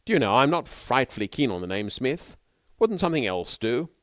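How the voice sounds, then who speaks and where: A man's voice: medium pitch, big pitch swings, brisk, dry recording, clean studio sound, voice pushed up, lightly acted. A man voicing an earnest, eager, slightly dim young English lord who speaks in posh old-fashioned slang. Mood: amiable, earnest.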